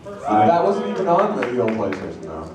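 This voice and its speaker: Lively man, very echoey distant recording. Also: fairly low pitch, moderate pace. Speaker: panelist at an anime convention. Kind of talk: casual, joking panel chat. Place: large hotel convention panel room. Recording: very echoey hall, far off, crowd. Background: audience crowd.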